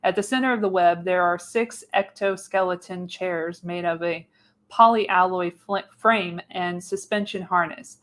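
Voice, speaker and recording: A woman serves as narrator for a radio play, reading scene headings and action from a script. Her voice sits a little lower than most women's. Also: steady tone, measured pace, fairly bright tone. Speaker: narrator, podcast host. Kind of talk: scripted narration of screenplay stage directions.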